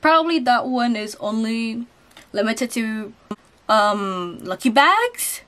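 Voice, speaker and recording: A young woman with a cold, chatting excitedly as she unboxes and shows clothes in a haul video. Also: on the high side, slow. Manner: chatty and excitable, chuckles at prices. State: has a cold. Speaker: channel host unboxing a lucky bag. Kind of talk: casual haul vlog voice-over. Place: indoors at a table.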